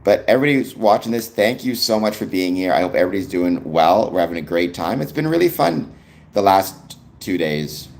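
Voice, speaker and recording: A young man with a Canadian accent talks casually to his live-stream viewers. His voice sits deep and low, yet his pitch swings about freely. He fills the pauses with ums as he answers comments from the chat. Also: lively intonation, creaky, a little mellow. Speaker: livestream host.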